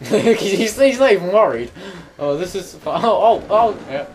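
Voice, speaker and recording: Playful young man, lively swinging pitch. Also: measured pace, distant mic. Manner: playful, excitable, teasing. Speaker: gaming video co-host. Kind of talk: casual gameplay banter. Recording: clear noise, game sound behind. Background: video game sounds.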